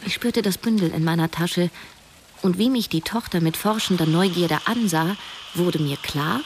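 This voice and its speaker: Actress as low, creaky, stubborn cleaning woman. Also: moderate pace, a little hiss or hum, close to an everyday voice. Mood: confiding, brooding. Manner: confiding, hesitant in dialogue. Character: wry, stubborn, conflicted cleaning woman narrating her story. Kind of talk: German radio drama first-person narration.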